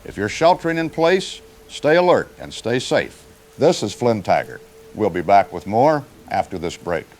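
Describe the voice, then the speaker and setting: A man playing a grave news anchor in an audio drama, delivering formal, urgent crisis reports in a measured way. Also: fairly low pitch, sing-song, darkish tone. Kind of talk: scripted broadcast news reporting.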